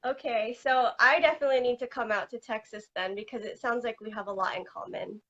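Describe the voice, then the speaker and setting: High-voiced upbeat woman interviewer. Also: steady tone, a little fast, a bit bright, dull audio. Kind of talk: friendly interview questions.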